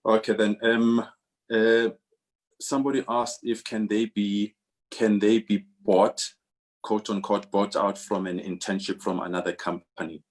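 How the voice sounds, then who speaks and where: A man's voice: on the low side, flat delivery, measured pace. A man moderating an online panel, reading out questions hesitantly with many fillers.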